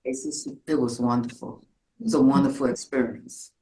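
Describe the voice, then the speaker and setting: A woman's voice: a bass voice, steady tone, normal speed, mellow. An older woman chatting casually in a group about taking part in a community project, effusive and given to repeating herself. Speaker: participant in a community storytelling theatre group. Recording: roomy echo.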